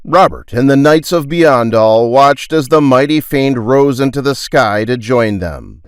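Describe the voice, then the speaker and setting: A man working as a storyteller, reading scripted dramatic narration with theatrical, emphatic pauses. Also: mid-range voice, expressive, clear, resonant tone, dry recording, clean studio sound.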